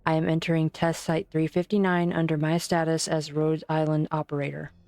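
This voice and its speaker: A calm, formal doctor speaks with quiet resolve in a low register, voiced by a woman. The voice is dry and close to the mic, measured and courteous but unbending. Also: dry recording. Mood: steady. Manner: composed, polite and firm. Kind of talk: amateur fan dub acting.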